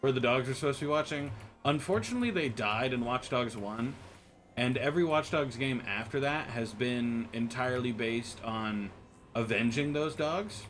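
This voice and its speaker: A man's voice: mid-range voice, normal speed, fairly bright tone, soft background music. An American man streaming a game and chatting with viewers, rambling and joking, often restarting his words. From United States. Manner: rambling, joking, frequent stammered restarts and laughs. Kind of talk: casual chat with viewers while gaming.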